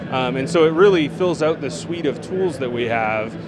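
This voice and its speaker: A man who works as a product manager, answering interview questions on a trade show floor in a relaxed way, with plenty of fillers and restarts. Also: mid-range voice, moderate pace, noisy background.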